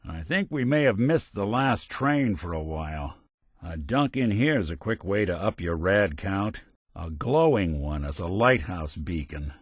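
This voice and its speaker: World-weary male android private eye, video game. Deep, expressive, sardonic noir delivery, close dry sound. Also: measured pace, husky, no echo, heavily acted. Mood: wry, sardonic. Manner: wry, dry, sardonic, old-time tough-guy phrasing. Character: world-weary wisecracking male synth robot detective, middle-aged. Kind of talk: scripted game companion dialogue, noir detective.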